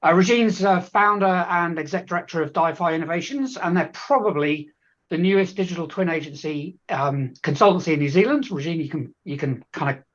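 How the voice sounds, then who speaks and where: Casting: male webcast host, fairly high voice, informal and halting, chatty interview style, dull web-call sound. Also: a bit bright. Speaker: company chief executive hosting a webcast. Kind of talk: conversational interview. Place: online webcast. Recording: dull, band-limited web call audio.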